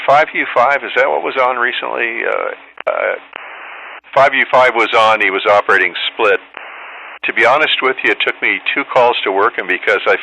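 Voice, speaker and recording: A man's voice: medium pitch, creaky, bright, forceful tone, a little hiss or hum, poor audio. A man in a casual ham radio conversation, chatting informally and explaining technical details. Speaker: host, amateur radio operator in contact.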